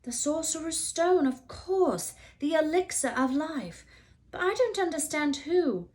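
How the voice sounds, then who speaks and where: Woman playing a young boy wizard, anxious, excitable, urgent, raised pitch, storybook character. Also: unhurried. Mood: alarmed realisation. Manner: urgent, questioning.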